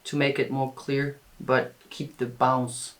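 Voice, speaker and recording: A man's voice: medium pitch, level tone, takes it slowly, a bit bright. A man music producer chipping in with short remarks during a casual studio walkthrough.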